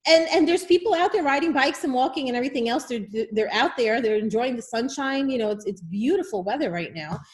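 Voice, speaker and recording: A woman with a New York accent speaks with a slightly high voice, emphatic and repeating herself. She chats casually as a guest over a video call. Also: expressive, fairly quick, hardly any pauses.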